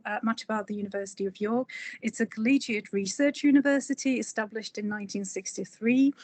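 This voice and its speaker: A woman from the Republic, a curator giving a prepared but informal talk in an enthusiastic, effusive manner. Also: medium pitch. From Czech Republic.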